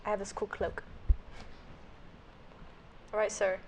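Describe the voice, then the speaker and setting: A woman's voice: mid-range voice, flat delivery, takes it slowly, slight background noise, low-quality recording. A woman playing a tabletop game, sassy and playful as she describes her character. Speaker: player.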